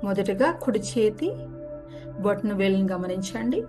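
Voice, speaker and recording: A woman gently guides a relaxation meditation, giving unhurried step-by-step instructions. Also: mid-range voice, animated. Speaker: yoga nidra guide. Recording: music behind the voice. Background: background music.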